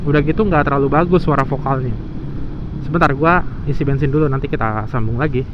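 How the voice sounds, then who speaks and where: A man's voice: on the high side, fast. A man with speech, chatting casually and thinking aloud to viewers as a motovlogger, recorded on a helmet intercom microphone. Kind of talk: casual vlog chat to viewers. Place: outdoors, riding a motorbike. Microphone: intercom microphone inside helmet. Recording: dull helmet intercom mic, loud traffic noise.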